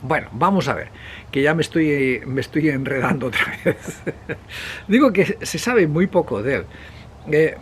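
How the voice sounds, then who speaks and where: A male presenter from Spain talks history in a loose, conversational monologue. He speaks at a middling pitch and often hesitates, corrects himself and drifts into asides. The recording carries clearly audible background noise. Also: fairly bright tone. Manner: rambling, digressive, hesitant with frequent pauses and self-corrections. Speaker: video presenter.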